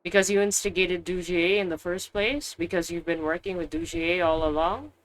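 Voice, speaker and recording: A woman's voice: lowish voice, fairly even intonation, moderate pace, dry recording, rough recording. A woman giving casual, chatty, slangy commentary as she plays a video game and reacts aloud. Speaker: game streamer.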